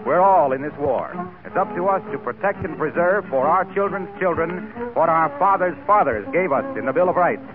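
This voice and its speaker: A man's voice: fairly high pitch, expressive, normal speed, over music. An American man giving a formal, oratorical public appeal as a 1940s radio announcer. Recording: tinny 1940s radio recording.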